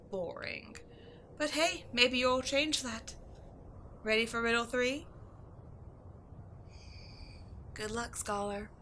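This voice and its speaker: A woman voicing a giant female sphinx, a flirty, teasing and excitable temple guardian, in a playful, expressive audio roleplay. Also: a little high, slight background noise. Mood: wistful, then mysterious, reciting. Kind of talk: roleplay audio acting addressed to the listener.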